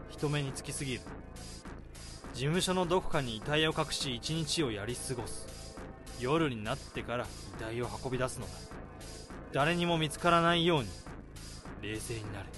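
Radio drama: male actor as a strained office-worker narrator, slightly high voice, soft music underneath. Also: close to an everyday voice. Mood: frantic resolve. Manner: tense inner monologue, rising to frantic self-pep talk. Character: harried office-worker man hiding his boss's body. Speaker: narrator and protagonist. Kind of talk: first-person radio drama monologue.

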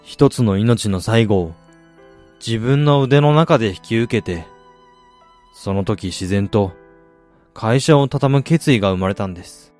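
An actor playing a weary, reflective middle-aged man in a radio drama, recounting his losses in a measured, confessional way. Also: fairly low pitch, darkish tone, soft background music, close to an everyday voice. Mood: sorrowful, resolute. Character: weary man who lost family, confessional, brooding. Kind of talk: Japanese radio drama acting.

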